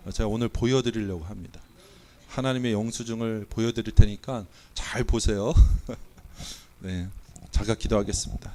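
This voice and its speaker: Korean pastor preaching while sick with a cold. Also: male voice, mid-range voice. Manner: playful, quizzing and auctioning with the congregation. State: has a cold, voice not coming out well. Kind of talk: sermon. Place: church sanctuary.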